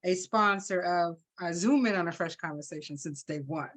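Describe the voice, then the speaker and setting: Female talk host, lively and friendly, video-call audio. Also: on the low side, moderate pace. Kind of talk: casual chat. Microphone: video call mic.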